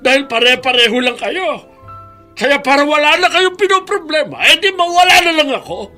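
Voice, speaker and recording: A man's voice: extremely high pitch, expressive, moderate pace, pushed and bright, soft background music, exaggerated voice. An older man with a Filipino accent playing a stubborn, self-pitying, sickly elderly father in a radio drama. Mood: bitter outburst. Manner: obstinate, self-pitying, complaining.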